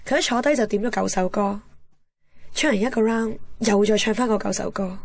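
A woman's voice: medium pitch, fairly quick, dry recording, natural voice. An actress playing a candid, guarded young woman with a Hong Kong accent, frank and composed in a radio drama. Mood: reflective. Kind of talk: radio drama narration and dialogue.

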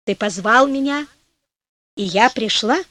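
A woman voicing a young, beautiful lake fairy who speaks in a friendly, gentle way. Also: fairly high pitch, unhurried, no room sound. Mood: tender.

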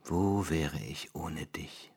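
A deep, very husky voice carries a nervous politician with something to hide. He confides breathily, as in close radio drama dialogue. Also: takes it slowly, a little mellow, exaggerated voice. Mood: uneasy, confiding. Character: anxious, secretive male presidential candidate.

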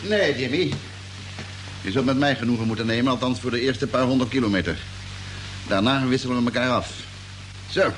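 An actor playing a practical, unhurried crewman at the wheel of an expedition truck in a radio drama. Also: mid-range voice, faint music behind, lightly acted. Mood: practical, steady. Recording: old radio play, noisy vehicle ambience.

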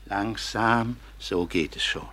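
An actor playing a concerned guardian in a radio play, gently questioning a wounded youth. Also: male voice, on the low side, slow pace. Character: caring guardian Herr Meier, anxious and gentle.

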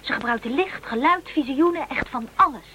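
An actress playing a brisk female space explorer reporting on her mission in a radio play. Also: high-pitched, animated, sharp tone. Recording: radio drama with faint noise.

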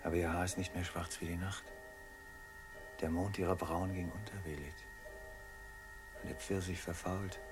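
An actor playing a haunted, brooding merchant in a German radio play, grave and fatalistic in his delivery. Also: deep voice.

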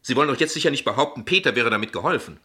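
A shrewd ironic dad in a radio play, husky and sharp, talks very pointed and polite. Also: male voice, mid-range voice, lively intonation, racing. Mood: indignant, pointed. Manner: eloquent, pointed, condescendingly polite. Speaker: actor. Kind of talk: dialogue acting in a German radio drama.

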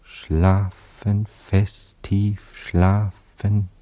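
Low-voiced dreamy, lovesick man, Anatol. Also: level tone, warm tone. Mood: hypnotic, soothing. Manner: theatrical, shifting from impassioned to slow soothing murmur. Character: Anatol, jealous, dreamy, lovesick Viennese male lover. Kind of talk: German radio play acting.

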